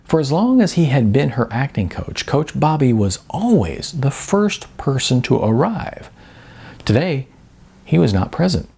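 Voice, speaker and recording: A man's voice: mid-range voice, vocal fry, a little mellow, breathy edge. A man, an author reading from his own novel in an informal, conversational storytelling style.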